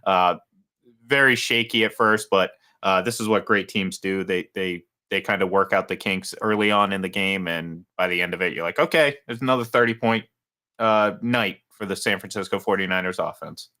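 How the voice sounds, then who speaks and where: A man's voice: low-pitched, fairly even intonation, moderate pace, bright, forceful tone. A man in his thirties co-hosting a casual sports talk show, rambling with many restarts and fillers. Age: 30s. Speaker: sports radio co-host.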